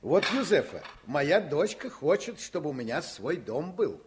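Affectionate father, playful, gentle teasing, very lively intonation, radio play. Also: on the high side, unhurried. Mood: amused. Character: kindly, tired doctor father, playful with daughter.

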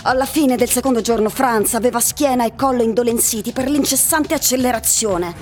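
A woman's voice: a little high, fairly quick, over music. A woman narrator giving a scripted literary reading in a radio drama.

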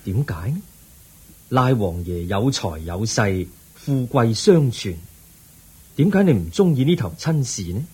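A man with a Hong Kong Cantonese accent playing the Buddha, a calm, wise teacher who counsels gently and patiently in a radio drama. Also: mid-range voice, unhurried, slightly rough, exaggerated voice.

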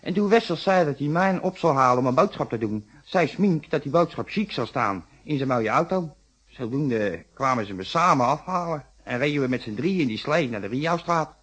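A grumbling working-class fellow in a radio crime drama. His voice sits fairly high for a man, with slangy Netherlands Dutch and a brusque, defensive manner. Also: animated, moderate pace, fairly bright tone, breathy edge, poor audio. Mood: cagey. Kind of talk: Dutch radio detective play acting.

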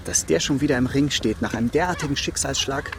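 An actor playing a gossipy, devoted political follower in a radio drama, talking in an eager, confiding way. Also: male voice, mid-range voice, fast talker, creaky, noisy background, close to an everyday voice. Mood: admiring. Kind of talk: German radio drama acting.

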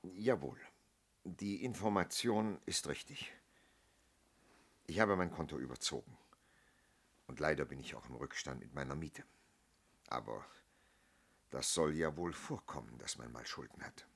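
Very deep, gravelly, weary man. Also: lively intonation, measured pace, pauses often, a bit bright, full character voice. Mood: subdued, guarded. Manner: hesitant, guarded, formally polite. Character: weary, reserved male debtor under police suspicion. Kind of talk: 1970s German radio play acting.

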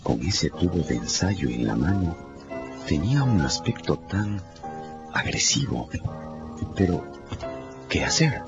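A man with a very deep, gravelly voice narrates a radio novel. His scripted delivery is dramatic. The sound is a little muffled, with music playing behind him. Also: very animated, takes it slowly, long pauses.

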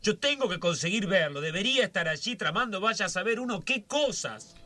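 A man's voice: high voice, lively intonation, a little fast, bright, forceful tone, faint music behind. An actor playing a nervous, fussy, self-dramatizing man who frets and exclaims to himself in a radio drama. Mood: panicky. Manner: fretful, histrionic, muttering and exclaiming.

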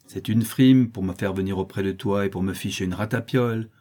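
A man's voice: lowish voice, fairly quick, warm tone, lightly acted. A man voicing a sly, mocking crippled street boy in a dramatized audiobook. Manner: jeering, sneering.

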